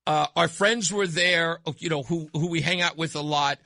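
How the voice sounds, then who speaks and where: A male radio host chatting casually in a studio, excitable, laughing often and breaking into loud bursts. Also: a little high, moderate pace, gravelly, no room sound. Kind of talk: casual on-air chat. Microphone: studio microphone.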